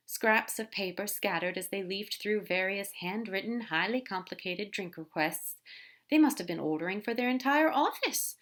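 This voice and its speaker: A woman reading a fan fiction story aloud, narrating with expressive emphasis and soft whispered asides. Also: mid-range voice. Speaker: podfic reader.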